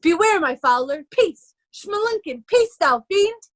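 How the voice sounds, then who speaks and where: Very shrill raving mad beggar. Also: female voice, big pitch swings, full character voice. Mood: crazed, haunted. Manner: wild, chanting, drawn-out nonsense. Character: Edgar feigning a raving mad beggar, Poor Tom. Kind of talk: amateur Shakespeare reading.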